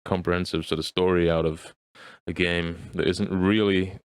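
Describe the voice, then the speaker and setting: A man's voice: low-pitched, level tone, moderate pace, clean tone, no echo. A man co-hosting a podcast, chatting casually and swearing freely. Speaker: podcast co-host. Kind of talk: casual chat, profane.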